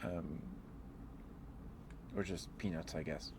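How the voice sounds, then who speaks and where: A man streaming ASMR, chatting in a soft, drowsy, rambling way close to the microphone. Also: extremely low pitch, steady tone, slow pace, background noise. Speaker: live streamer. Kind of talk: rambling ASMR livestream chat.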